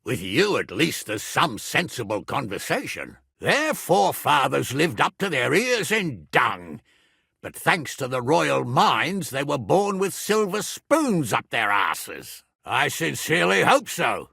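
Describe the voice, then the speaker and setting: Blustering medieval nobleman, gravelly, hugely animated delivery, unhurried, lordly, hot-tempered, game dialogue. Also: mid-range voice, no room sound, clean studio sound, heavily acted. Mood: indignant, blustering. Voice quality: gravelly, rough. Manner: blunt, lordly, emphatic. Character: gruff, blustering, foul-mouthed medieval nobleman, hot-tempered but loyal. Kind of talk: dramatic video game voice acting.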